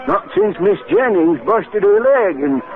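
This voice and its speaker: Casting: high, squawky country innkeeper, rustic American, folksy and crotchety, phone-like old radio sound. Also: male voice, animated, measured pace, faint music behind. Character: folksy, crotchety backwoods village innkeeper, male. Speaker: actor in a radio drama. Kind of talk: 1950s radio acting. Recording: phone-like 1950s radio recording.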